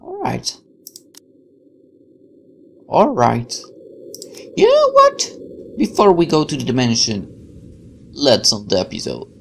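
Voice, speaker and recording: A man in his twenties reading game text aloud with excitable, chatty asides and some stumbles. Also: mid-range voice, slow, creaky, long pauses. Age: 20s. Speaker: gaming video host. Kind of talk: game let's play, reading aloud and reacting. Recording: faint background noise.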